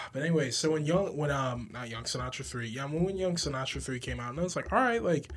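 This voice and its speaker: A man's voice: medium pitch, lively intonation, brisk, fairly bright tone. A young man co-hosting a podcast, chatting casually about music with slangy, informal phrasing and some swearing. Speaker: podcast co-host.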